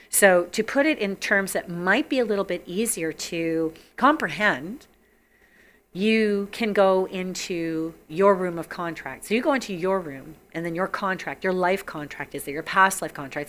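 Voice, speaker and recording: A woman hosting a psychic call-in show, her voice husky from being sick, chatty and enthusiastic, repeating words for emphasis. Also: fairly low pitch, fairly bright tone. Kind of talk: casual talk-show monologue.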